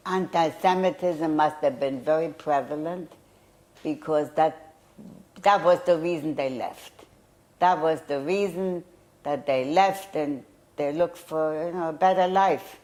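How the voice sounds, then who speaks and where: A woman's voice: low voice, a little slow, a little mellow. Older woman, hesitant, recalling memories. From Poland. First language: German. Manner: hesitant, searching memory with restarts. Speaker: interviewee. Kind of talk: oral history testimony.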